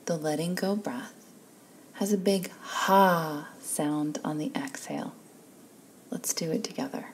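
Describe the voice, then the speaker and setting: A woman leading a guided meditation in a soft, breathy, calm and soothing voice, leaving long pauses between phrases. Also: deep voice, slight background noise, low-quality recording. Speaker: guided relaxation instructor.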